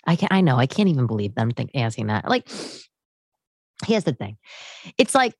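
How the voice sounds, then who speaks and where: A woman in her late thirties hosting a podcast, talking casually and bluntly to her listeners in an animated, conversational way. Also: extremely low pitch, moderate pace, husky, no room sound, clean studio sound. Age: late 30s. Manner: animated, conversational, acts out others' remarks. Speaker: podcast host. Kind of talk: casual, chatty monologue to listeners.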